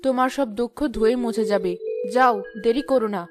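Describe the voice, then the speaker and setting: High-voiced, gentle forest fairy, played by a woman. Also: a little fast, music in the background, lightly acted. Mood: reassuring. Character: gentle, wise female forest fairy bound to tree. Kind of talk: cartoon fairy-tale acting.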